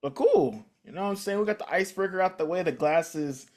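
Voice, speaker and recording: A man's voice: high voice, lively intonation, fast, darkish tone. A man hosting a podcast, playful and jokey in casual chat, laughing easily. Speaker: podcast host.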